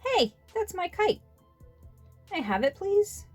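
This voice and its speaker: A woman's voice: very high voice, big pitch swings, slow pace, darkish tone, soft background music, voice pushed up, full character voice. A woman voicing an eager, friendly young boy asking questions in a storybook.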